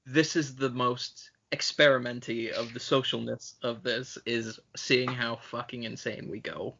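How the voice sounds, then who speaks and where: A man's voice: medium pitch, fairly even intonation, measured pace, vocal fry, bright, forceful tone, highs cut off. A man co-hosting a podcast in casual film chat among friends, rambling conversationally and swearing freely. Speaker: podcast co-host.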